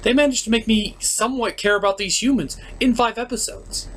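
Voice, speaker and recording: A man's voice: very high-pitched, fairly even intonation, a little fast, fairly bright tone. A man delivering scripted comedic review commentary as a show host, emphatic and exasperated, with some swearing.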